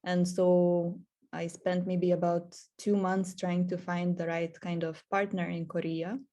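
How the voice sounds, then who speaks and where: A woman's voice: lowish voice, level tone, a little slow, dark tone. A woman hosting an online webinar, introducing guests with frequent hesitations. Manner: hesitant, many ums. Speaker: webinar host.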